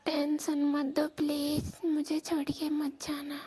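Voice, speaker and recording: A woman playing a shy, devoted young married Rajasthani village woman, speaking in a hushed voice to her secret lover on the phone. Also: completely flat delivery, slow pace. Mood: wistful, pleading. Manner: hushed, speaking low so neighbours will not hear. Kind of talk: intimate romantic phone conversation, acted as a prank call recording. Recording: noisy phone call recording.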